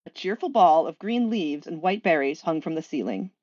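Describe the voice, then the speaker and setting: A woman with a fairly low voice narrates a story. The recording is slightly dull, though close and dry, as she reads from a script. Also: sing-song, moderate pace, a little mellow, dry recording. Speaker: fan fiction reader.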